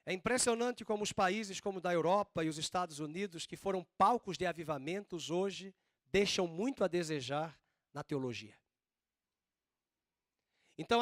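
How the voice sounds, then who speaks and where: A man preaching a sermon as pastor, with a drawn-out Northeastern Brazilian accent and slow, emphatic stresses. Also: high voice, a bit bright, no echo, clean studio sound. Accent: Northeastern Brazilian Portuguese.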